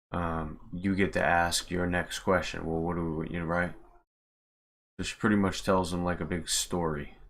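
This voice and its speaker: A man with a very low, fry-edged voice talks through his work on a casual stream. He speaks slowly and often mutters to himself as he goes. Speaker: streamer, game mod creator. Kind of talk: casual livestream tutorial commentary.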